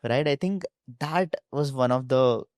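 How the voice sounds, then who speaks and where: A man's voice: medium pitch, measured pace, a little mellow, no echo, clean studio sound. A man with an Indian accent, a startup founder answering conversationally in an interview.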